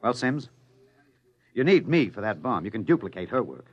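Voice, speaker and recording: A desperate fugitive husband in classic radio drama. His voice is on the low side and moves expressively in pitch. He speaks earnestly and firmly, protective of his wife. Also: sing-song, moderate pace, husky, highs cut off. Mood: grim resolve. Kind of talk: vintage radio drama acting. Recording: old radio drama recording.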